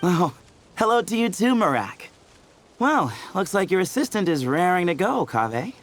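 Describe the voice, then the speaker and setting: A man's voice: high-pitched, sing-song, moderate pace, slight background noise. A man voicing an easygoing young team leader in a video game, breezy and wry. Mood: breezy, confident. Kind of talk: video game character acting.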